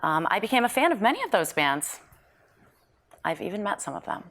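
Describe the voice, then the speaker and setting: A woman playing a guarded television psychologist in a drama table read, measured and quick to correct. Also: medium pitch, big pitch swings, a bit bright. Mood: wry, reminiscing. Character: guarded woman television psychologist reminiscing with old college friends.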